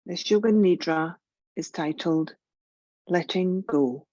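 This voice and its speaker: A woman guiding a yoga relaxation meditation in a gentle, soothing, measured way, leaving long pauses. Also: on the low side, no room sound, lo-fi sound. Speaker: yoga nidra meditation guide.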